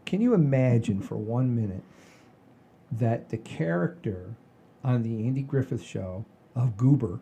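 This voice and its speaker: Mellow older man hosting a comedy podcast. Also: mid-range voice, animated, a little slow, creaky, husky. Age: 60s. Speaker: podcast host. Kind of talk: casual comedy chat.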